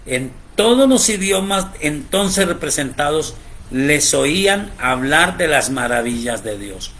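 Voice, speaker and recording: A man teaching a Bible program as a pastor, preaching slowly and emphatically, his voice rising on key points. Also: sits high, fairly bright tone.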